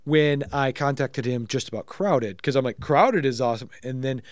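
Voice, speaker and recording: A man hosting a casual podcast, excitable and tripping over his words, with a cold. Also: mid-range voice, normal speed, dry recording, clean studio sound. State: has a cold. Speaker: podcast host. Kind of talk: casual chat.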